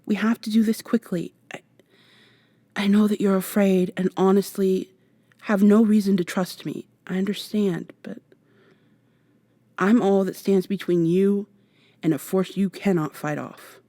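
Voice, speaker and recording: Actress as earnest female time traveler for ASMR drama: mellow, close and dry, protective, slightly flustered and long-winded. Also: medium pitch, fairly even intonation, unhurried, pauses often, no room sound, close to an everyday voice. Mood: pleading, reassuring. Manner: hesitant, rambling, gently reassuring, some whispering. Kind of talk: ASMR roleplay, softly acted monologue to the listener. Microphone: close binaural microphone.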